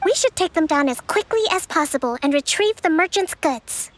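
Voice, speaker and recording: A calm, high-voiced strategist. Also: female voice. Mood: reassuring. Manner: measured, composed, analytical. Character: calm young female military strategist and priestess. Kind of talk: video game dialogue. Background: game music.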